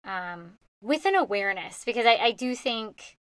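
A woman's voice: fairly high pitch, animated, moderate pace, a bit bright, no echo. A woman on a podcast musing aloud in a searching, hesitant way, laughing now and then. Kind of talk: reflective casual conversation.

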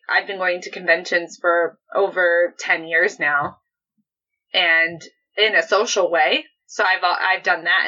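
Candid high-voiced young woman, lo-fi sound. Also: bright, forceful tone. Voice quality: high-pitched, girlish voice. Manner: blunt, candid, chatty with frequent restarts. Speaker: podcast guest. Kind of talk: casual interview chat. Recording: dull lo-fi sound.

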